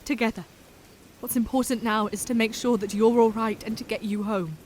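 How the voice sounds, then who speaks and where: Actress as an earnest, nervous young woman, caring, stammers, a little high. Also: steady tone, brisk, darkish tone, a little hiss or hum, lightly acted. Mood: soothing. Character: earnest, devoted young woman, anxious and self-doubting. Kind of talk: audio drama acting and diary narration.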